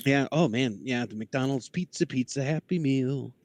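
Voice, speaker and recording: A man's voice: medium pitch, normal speed, a little mellow, a little hiss or hum. A man chatting casually and enthusiastically about cartoons, with a geeky manner, as a podcast guest.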